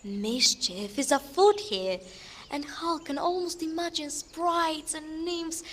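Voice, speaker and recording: An actress playing a lyrical storyteller, giving poetic and deliberate dramatic narration of a fairy-tale adventure. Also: female voice, high voice, a bit bright, a little hiss or hum. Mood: wondering, hushed.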